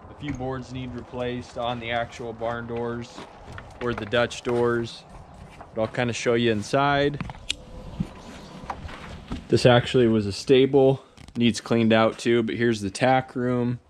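Slow, relaxed man. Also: mid-range voice, a little hiss or hum. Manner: relaxed and explanatory, with many "uh" and "kinda" fillers. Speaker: carpenter and channel host. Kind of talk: casual how-to vlog. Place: outdoors at a farmyard barn and inside a large workshop.

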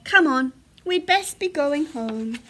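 A cautious father bear from a picture book, performed by a woman. He is firm and fussing, full of warnings, with a highly animated rise and fall in a feminine, high register. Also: slow pace, a little hiss or hum, exaggerated voice. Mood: consoling.

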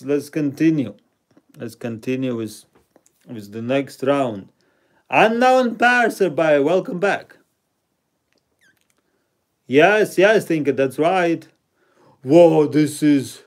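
Playful man streaming a game. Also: a little high. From Latvia. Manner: playful, self-mocking, reads out chat names and rankings. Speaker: livestream host. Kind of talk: casual live-stream chatter while playing a word game. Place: indoor room at home.

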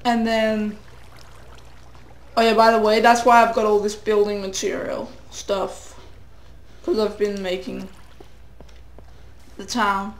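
Teen gamer with a cold, high-ish voice, rambling, echoey home room; casual commentary. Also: male voice, little pitch movement, takes it slowly, gravelly, pauses often. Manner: rambling, self-deprecating, sing-song asides. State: a cold, voice getting hoarse.

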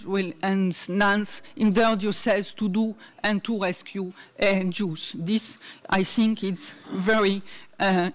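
A middle-aged woman giving a conference talk from notes at a university hall, hesitant with many fillers, speaking into a podium mic. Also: medium pitch. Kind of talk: academic talk read from notes. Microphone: desk mic on a stand.

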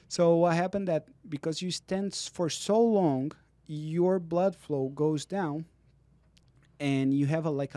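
A man's voice: a little high, dark tone, dry recording. A man in his thirties, a physical therapist, explaining exercises and leg health earnestly in a studio interview. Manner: earnest, explanatory, non-native phrasing. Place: dark podcast studio. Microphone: studio mic on boom arm, headphones.

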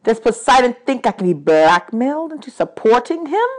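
A woman's voice: on the high side, very animated, voice pushed up. Lady doing a pissed off underworld god guy from a kids book, bossy, ranting, leans hard on every word. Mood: accusing. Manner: imperious, ranting, emphatic drawn-out words. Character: angry, aggrieved male god of the underworld.

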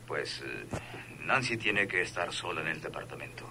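Cautious yes-boss henchman, super deep voice, bit of radio hiss. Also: expressive, moderate pace, creaky, bright, forceful tone, full character voice. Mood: deferential, cautious. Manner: deferential. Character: obedient, cautious male gangster henchman. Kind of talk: radionovela acting.

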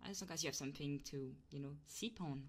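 A woman live streamer chatting casually and playfully in a soft, breathy ASMR style, often whispering, close to the microphone. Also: sits low, fast talker. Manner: casual and playful, swearing freely.

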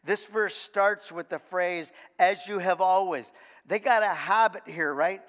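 An older man preaching a sermon in a folksy, animated way, full of repeated words and "okay?" asides. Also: high-pitched, a little slow. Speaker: pastor. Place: large church sanctuary, indoors. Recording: long reverb of a church hall.

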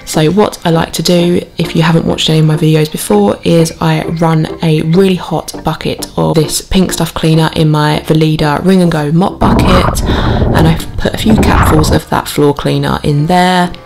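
A woman's voice: low-pitched, steady tone, normal speed, vocal fry, noisy background. A British woman in her thirties giving a casual, chatty voice-over as a home vlogger. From United Kingdom. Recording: voice-over with music behind. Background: background music.

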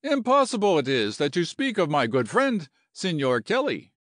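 A man voicing an excitable, romantic Colombian general with a Spanish accent and flowery broken English. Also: on the high side, moderate pace, no echo, clean studio sound, voice pushed up. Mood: indignant.

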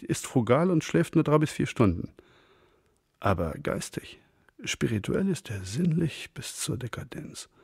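An actor playing a witty, wordy novelist with ornate, ironic phrasing in a radio crime drama. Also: male voice, fairly high pitch, vocal fry, a little mellow, husky, no echo, clean studio sound, exaggerated voice. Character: witty, wordy male novelist, old friend of detective. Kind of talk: German radio play acting.

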